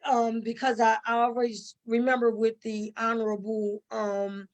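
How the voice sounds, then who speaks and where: A woman's voice: on the high side, flat delivery, a little slow. A middle-aged woman answering conversationally, telling stories emphatically with repetition and quoted asides. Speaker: stage play cast member.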